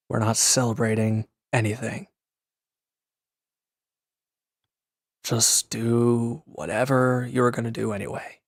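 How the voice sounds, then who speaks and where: A man acting a gruff, guarded former villain in a roleplay audio drama, curt and grumbling, reluctant to lean on anyone. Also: fairly low pitch, no room sound, studio quality. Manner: curt, grumbling, defensive, with sighs and hesitant breaks. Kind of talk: scripted roleplay audio drama addressed to the listener.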